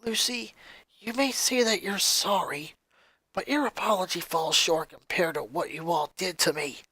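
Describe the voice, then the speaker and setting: A man performs a young boy who is a resentful, wounded big brother in a fan story. He speaks coldly and accusingly, leaning hard on his words, with the pitch pushed up and a dry sound. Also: normal speed, sharp tone, breathy edge, no room sound, full character voice, poor audio. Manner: cold, accusing, emphatic.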